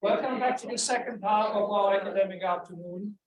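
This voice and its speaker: A man chairing an academic session, making brisk announcements and introducing the next speaker. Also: high-pitched. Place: lecture hall. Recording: echoey hall from far off.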